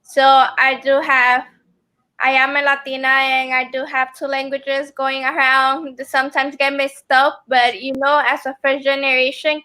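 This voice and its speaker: A woman's voice: high-pitched, flat delivery, measured pace, sharp tone, rough recording. A young woman whose first language is Spanish, a student guest on a video call, speaking earnestly with many ums. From family from El Salvador. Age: young adult. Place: room at home.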